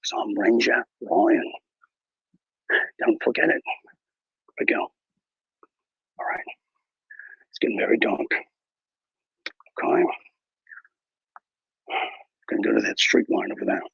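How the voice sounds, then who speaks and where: A man playing a bumbling, boastful wilderness ranger who hosts a mock nature show, talking in a mock Australian accent with hushed, mock-serious narration and a husky voice. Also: lowish voice, sing-song, slow, pauses often, no echo, dull audio, full character voice. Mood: hushed bravado. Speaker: mock wildlife show host. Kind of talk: improvised parody nature documentary.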